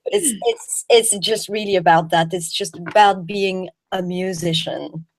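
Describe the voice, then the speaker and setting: A woman's voice: fairly low pitch, measured pace, low-quality recording. A woman from Belgium, a jazz singer and guest, chatting with enthusiasm and often restarting her words. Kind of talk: casual chat.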